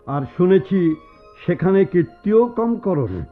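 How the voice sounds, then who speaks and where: A cold, accusing husband from a radio melodrama. His fairly high voice moves dramatically with contempt. The recording is thin and phone-like, with music behind. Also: very animated, measured pace. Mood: cold accusation. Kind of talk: Bengali radio drama acting.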